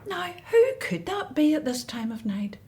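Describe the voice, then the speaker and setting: A woman plays an older Hebrew mother in a radio drama, devout and anxious for her family. Her voice is fairly high and swings widely in pitch. The delivery is tender and emotional. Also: normal speed, vocal fry, noisy background, heavily acted. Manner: emotional, expressive. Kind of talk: scripted radio drama acting.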